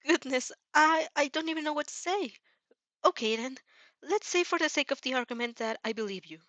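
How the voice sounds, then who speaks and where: A woman's voice: sits high, animated, fairly quick, a bit bright, dry recording, pitched above the performer's own voice. A woman voicing a kind, worried mother who is hesitant but caring. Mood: flustered, shaken.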